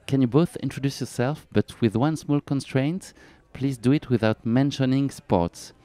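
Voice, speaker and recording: A man hosting a podcast interview at a pop-up store, friendly and often pausing on fillers. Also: medium pitch, warm tone. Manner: friendly, many filler pauses. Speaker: podcast host. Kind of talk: interview chat. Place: pop-up store at a race event.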